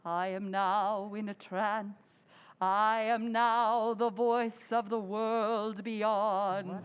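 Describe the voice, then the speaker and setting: An actress playing a bossy, pompous society hostess who fancies herself a medium, in old-time radio drama style with grand theatrical delivery. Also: female voice, mid-range voice, little pitch movement, very slow, clear, resonant tone, muffled recording. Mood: solemn, theatrical. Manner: imperious, theatrical, grandly intoning. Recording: echoey hall, live audience behind.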